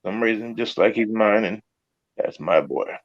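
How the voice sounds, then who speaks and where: A man speaking slowly and haltingly as a guest on a live stream, telling about his family with frequent laughs. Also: fairly low pitch, dry recording, highs cut off. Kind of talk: casual chat.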